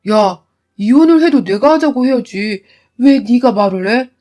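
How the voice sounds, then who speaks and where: An arrogant, bullying husband, as a woman narrator puts him on, barking orders in a fairly high voice. He speaks down with open contempt, every line clipped and bossy. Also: lively intonation, normal speed, mellow, close to an everyday voice. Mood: contemptuous.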